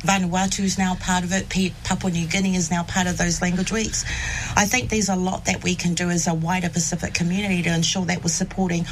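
A woman from New Zealand, a radio guest who talks in a relaxed way with many fillers like "you know". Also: fairly low pitch, brisk, a little hiss or hum, rough recording. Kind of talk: casual radio interview.

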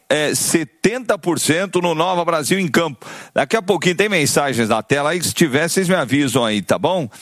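A man's voice: a little high, a bit bright, no echo, studio quality. A man with a Brazilian Portuguese accent hosting a live radio sports call-in show, chatting and reading listener messages aloud.

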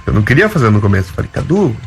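A man's voice: medium pitch, very fast, faint music behind. A man with a gaúcho southern Brazilian accent, telling stories casually as an interview guest and acting out dialogue. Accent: Gaúcho Brazilian Portuguese. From Rio Grande do Sul, Brazil. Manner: animated, acts out quoted dialogue. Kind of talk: casual storytelling.

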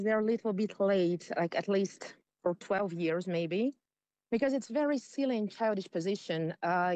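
A woman's voice: fairly low pitch, a little mellow, no echo, rough recording. A woman with a Ukrainian accent, a non-native English speaker, giving firm and dismissive opinions as an interview guest. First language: not English. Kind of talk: opinionated live discussion.

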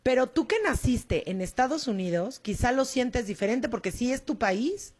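A woman's voice: a little high, animated, darkish tone, breathy edge. Chatty Mexican woman radio co-host. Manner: chatty, quick affirmations. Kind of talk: casual radio chat.

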